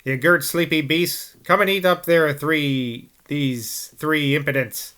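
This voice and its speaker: A man's voice: fairly high pitch, normal speed, bright, forceful tone. A man doing a growling grumpy old countryman. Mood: scolding. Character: grumpy old rustic man, ogre-like mutterer.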